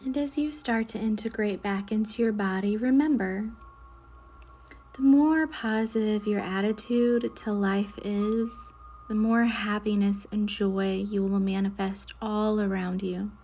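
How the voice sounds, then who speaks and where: A woman leading a guided meditation in a soothing, measured way, with soft breathy endings to her phrases. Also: mid-range voice, fairly even intonation. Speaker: guided meditation leader, Reiki instructor. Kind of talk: slow guided meditation narration.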